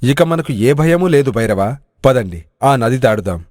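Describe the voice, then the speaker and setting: A man voicing an excitable, loyal village adventurer in an animated moral story, with a dramatic delivery. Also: medium pitch, normal speed, no echo, studio quality, close to an everyday voice. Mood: bold.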